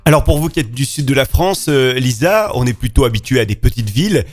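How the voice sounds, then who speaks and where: A male interview host speaks very quickly in a medium-pitched voice. His delivery is friendly and presenter-like as he welcomes and questions a guest. There is light music underneath. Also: expressive. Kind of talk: friendly radio-style interview hosting.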